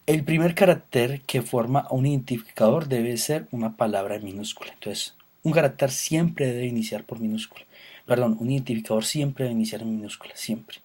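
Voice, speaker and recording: A man teaching an introductory programming course. His voice is husky and a little rough at the edges, at a middle pitch for a man. He explains step by step, with filler sounds and quick self-corrections. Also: a little mellow. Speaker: programming instructor. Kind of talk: recorded lecture.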